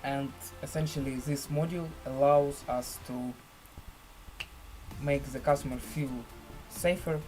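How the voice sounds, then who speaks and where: A man presenting a screen-share software tutorial in halting, deliberate phrases with formal, elaborate wording. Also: medium pitch, steady tone, faint music behind, rough recording.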